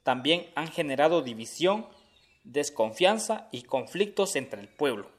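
Indignant Honduran activist, higher-pitched. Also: male voice, expressive, unhurried. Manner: colloquial, indignant. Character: indignant male community activist and radio presenter. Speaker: actor in a radio drama. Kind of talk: scripted radio drama dialogue. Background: music.

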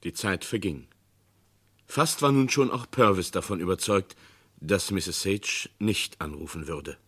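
A man giving measured, dramatic narration of a radio crime play. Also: deep voice, a bit bright, husky.

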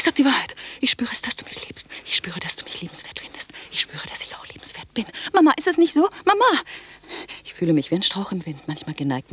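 An actress playing an intense, possessively affectionate sister in a radio drama, effusive and repetitive, moving between husky whispers and cries. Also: female voice, on the low side, a bit bright, full character voice. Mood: pleading, overwrought. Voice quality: breathy, husky, often whispering.

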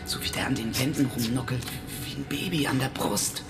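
A woman's voice: extremely low pitch, a little fast, over loud music. A woman playing a sceptical, sarcastic, dry-witted figure in a radio drama, curt and challenging.

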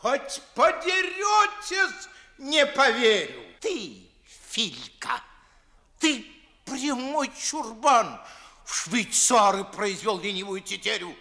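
A blustering older master of the house, pompous and scolding. His very high, sharp voice stretches out exclamations in 1950s theatrical verse style, with some room echo. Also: sing-song, slow pace, exaggerated voice. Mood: furious, scolding. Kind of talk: 1950s theatrical radio comedy acting in verse.

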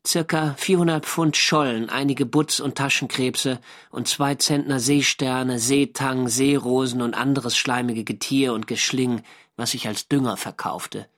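An actor playing a German navy skipper who narrates his First World War diary in a measured, dry, literary way for a radio drama. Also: medium pitch, fairly even intonation, a bit bright, lightly acted. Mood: matter-of-fact.

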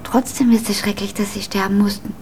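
A woman's voice: mid-range voice, level tone, a little fast, darkish tone, a little hiss or hum, close to an everyday voice. An actress in a German radio drama playing a frank, melancholy young woman who confides hesitantly. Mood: probing.

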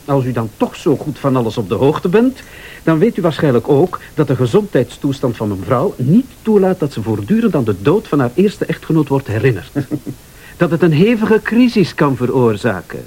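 An actor with a Flemish accent playing a scheming, prickly husband, a former bar owner, in a radio thriller, speaking with sardonic mock politeness. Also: male voice, medium pitch, very animated, normal speed, warm tone, husky. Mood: indignant, cold.

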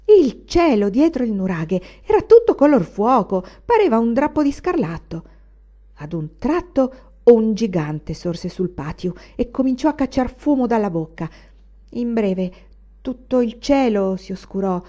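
A woman's voice: on the high side, big pitch swings, unhurried, warm tone, pitched above the performer's own voice. A woman voicing a superstitious, talkative old female servant in an audiobook. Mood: storytelling. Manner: fussy, chattering storyteller. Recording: dull lo-fi recording.

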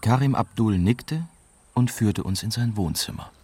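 An actor playing a young German journalist, narrating a radio drama in the first person with measured, descriptive delivery. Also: male voice, lowish voice, a little mellow, a little hiss or hum, close to an everyday voice. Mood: reflective. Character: young German journalist recounting his Iraq mission. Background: music.

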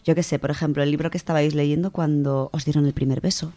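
A woman's voice: deep voice, fairly even intonation, quick pace, warm tone. A woman with a Spanish accent from Spain, chatting casually as a contributor to a radio book segment. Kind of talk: casual chat.

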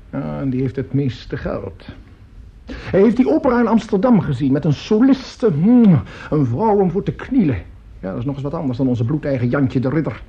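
Grumpy, high-pitched orchestra conductor. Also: male voice, warm tone. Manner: blunt, muttering, exclamatory. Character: grumpy opinionated male orchestra conductor, animated and scornful. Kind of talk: Dutch radio play acting. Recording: dull, noisy old radio recording.

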